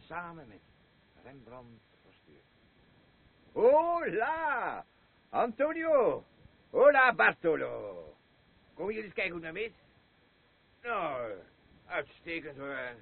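An actor playing a boastful, crude and rambling drunken old war veteran in a radio play, with a husky voice. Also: male voice, high voice, sing-song, dry recording, full character voice. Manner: slurring, rambling, halting, blustering. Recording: muffled lo-fi radio play recording.

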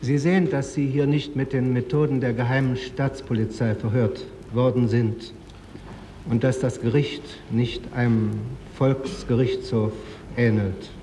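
A man questioning a defendant as a judge, measured, insistent and formal, on an archival courtroom recording. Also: medium pitch, steady tone, warm tone, in a large hall, a little hiss or hum. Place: large courtroom.

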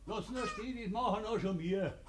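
An actor playing a gruff, domineering old farmer and father with a Bavarian accent in a 1950s dialect radio play. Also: high-pitched, moderate pace, a little hiss or hum, lightly acted. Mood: gruff, puzzled.

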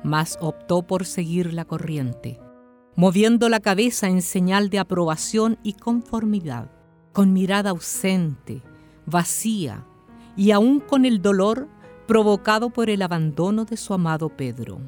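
A woman's voice: mid-range voice, lively intonation, unhurried, a little mellow, faint music behind, close to an everyday voice. A woman narrating a scripted radio drama as its storyteller.

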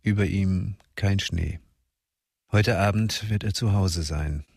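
A man's voice: sits low, slow pace, creaky, darkish tone, slightly rough, no room sound, clean studio sound. A man narrating a radio drama in short, sparse, sombre sentences. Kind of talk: scripted present-tense narration.

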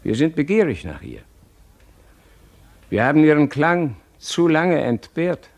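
A man's voice: fairly high pitch, big pitch swings, measured pace, darkish tone. An actor playing a stern, pious, authoritarian king and father in a classical radio drama, with a solemn, declamatory and commanding manner. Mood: imperious.

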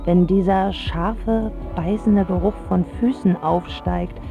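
An actress playing a spoiled, smitten teenage girl who narrates a radio drama in a wry, confiding way with dramatic pauses. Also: fairly low pitch, steady tone, creaky, narrow, tinny audio, music in the background. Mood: confiding, scornful. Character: spoiled rich teenage girl, smitten, sharp-tongued about boys.

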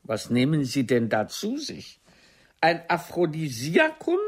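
A proud, ageing theatre diva in a radio drama. Her husky voice swings widely in melody, theatrical and cutting, as she reproaches a former lover with formal, wounded dignity. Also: low-pitched, huge pitch swings, measured pace, heavily acted. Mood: accusing. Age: older. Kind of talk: German radio play dialogue.

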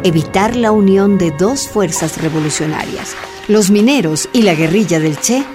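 A woman's voice: medium pitch, lively intonation, normal speed. A woman reading scripted narration for a radio drama. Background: music.

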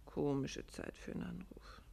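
A woman playing a detective's curious, shrewd and affectionate wife in a 1950s German radio play, conversational and gently probing. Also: moderate pace, natural voice. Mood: sleepy, inquisitive.

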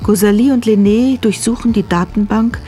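A woman's voice: medium pitch, moderate pace, mellow, soft background music, lightly acted. A woman narrating a crime radio drama as a sober observer, reading scripted prose.

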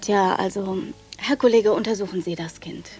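An actress playing a brisk, official woman doctor in a radio drama, questioning and dismissive with authority. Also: mid-range voice, a little mellow, soft background music, close to an everyday voice. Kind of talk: German radio drama acting.

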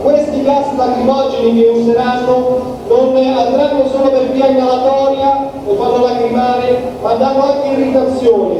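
A male activist gives instructions and rallying words to a gathering. The voice is high, muffled and far from the microphone. Heavy echo and clear noise surround it. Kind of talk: public instructions and rallying speech. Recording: dull, noisy, reverberant hall from afar.

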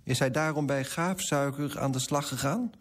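An actor playing a fussy, self-righteous coffee broker in a radio play, pompous and self-important, with a husky voice. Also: male voice, a little high, moderate pace, natural voice. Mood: brusque, officious. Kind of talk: first-person radio drama narration and dialogue.

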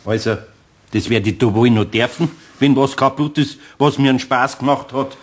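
A man playing a gruff kindly old Bavarian carpenter. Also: mid-range voice, lightly acted. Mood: gentle, teasing. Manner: grumbling, slow, good-natured. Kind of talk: radio play acting.

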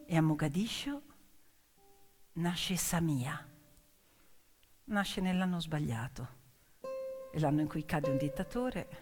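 A woman performing a dramatic theatrical storytelling monologue, emphatic and moving between hushed and declaimed delivery. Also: low voice. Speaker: stage actress and storyteller. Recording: long reverberant hall with music. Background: piano music.

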